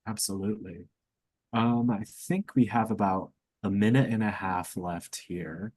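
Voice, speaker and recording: A man's voice: on the low side, steady tone, unhurried, darkish tone, no room sound. A young man in a casual discussion on a student podcast, talking in a hesitant, thoughtful, informal way.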